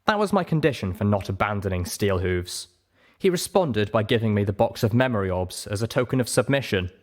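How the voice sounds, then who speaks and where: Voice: medium pitch, expressive, brisk, a little mellow. A narrator reading scripted first-person fiction as an audiobook.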